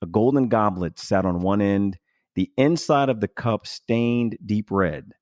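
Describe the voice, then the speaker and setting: A man with a fairly low voice hosts a podcast, rambling through his thoughts with plenty of fillers and false starts. The sound is dry and close, with no room around it. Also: a little slow, darkish tone, no room sound. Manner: rambling, many fillers and restarts. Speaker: podcast host. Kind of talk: casual podcast chat.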